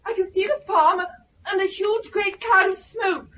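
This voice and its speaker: An actress playing an anxious, excitable survivor in a 1950s radio drama style. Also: female voice, very high-pitched, measured pace, recorded from a distance. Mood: alarmed. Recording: muffled, noisy 1950s radio recording.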